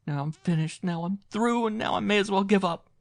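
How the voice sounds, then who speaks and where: A man's voice: medium pitch, lively intonation, fast talker, gravelly, dry recording, studio quality, pitched above the performer's own voice. A man voicing a faded, self-pitying middle-aged actress who talks in melodramatic wails. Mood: wailing. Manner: wailing, melodramatic.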